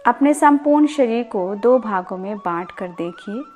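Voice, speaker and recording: A woman guiding a sleep meditation as a yoga instructor, in a calm, soothing and deliberate manner with pauses and repeated words. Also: a little high, soft background music.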